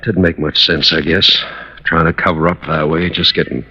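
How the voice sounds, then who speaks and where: An actor in a 1950s radio drama playing a weary, heartbroken husband who speaks in a halting, resigned, wistful way. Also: male voice, rumbling low, fairly even intonation, pushed and bright, husky, full character voice. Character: weary, heartbroken husband confessing to covering for his firebug wife. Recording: dull, noisy old radio recording.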